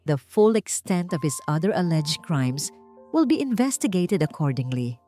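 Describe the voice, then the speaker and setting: A woman's voice: low-pitched, very animated, a little slow, dark tone, faint music behind. A woman voicing a stern courtroom judge in an audiobook drama, formal and declamatory. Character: stern, flustered male courtroom judge issuing rulings.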